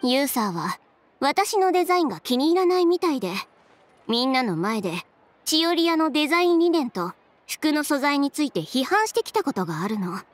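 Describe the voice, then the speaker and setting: An actress playing a blunt, sharp-tongued young woman fashion designer in an anime-style video game, speaking in a curt, assertive way. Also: high voice, sing-song, brisk. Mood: irritated, scornful.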